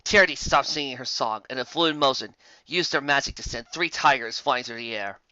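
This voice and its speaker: An expressive narrator reading a scripted story aloud with dramatic delivery, on a lo-fi recording. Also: medium pitch, fairly quick, no echo. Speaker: fan fiction reader. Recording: dull lo-fi close recording.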